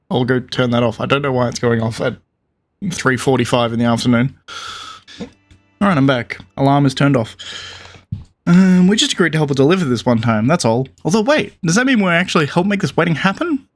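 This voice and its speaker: A man's voice: mid-range voice, lively intonation, a little fast. A man giving casual playthrough commentary on a video game, with offhand remarks. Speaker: gaming video host.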